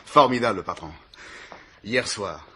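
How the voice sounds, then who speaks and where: Keen, husky police deputy. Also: male voice, on the low side, lively intonation, a little slow, sharp tone, full character voice. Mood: eager. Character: eager, wry male police subordinate. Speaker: actor in a radio mystery play. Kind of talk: French radio crime drama acting.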